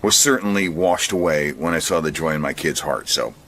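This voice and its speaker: A middle-aged man with a low, deep voice talks off the cuff. He is deliberate and emphatic, with some noise behind him. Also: a bit bright. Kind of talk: reflective unscripted talk.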